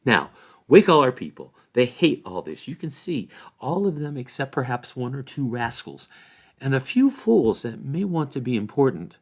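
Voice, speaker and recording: A man's voice: medium pitch, voice pushed up. A man reading the part of a resolute hobbit adventurer in a fantasy storybook, speaking urgently and with command. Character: earnest, resolute hobbit adventurer urging his companions to act.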